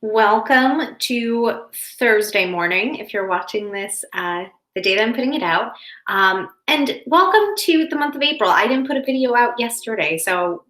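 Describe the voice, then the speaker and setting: A woman with a fairly high voice speaks to young children as a school educator would. She keeps her explanations simple and gentle, chatting and reassuring as she goes. The sound is clearly echoey, like a home office with bare walls. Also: lively intonation, normal speed. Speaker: school educator reading stories to children online.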